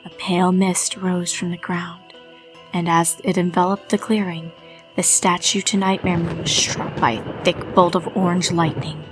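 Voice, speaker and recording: A woman reading a dark fan story aloud in a measured way, dropping to a hush on eerie lines. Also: on the low side, flat delivery, over music, poor audio. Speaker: reader.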